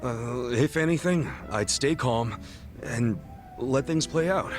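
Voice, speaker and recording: An actor playing an easygoing, level-headed buddy in a video game cutscene, speaking casually and earnestly. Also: male voice, medium pitch, lively intonation, takes it slowly, music in the background. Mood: reassuring. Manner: casual, incredulous, reassuring.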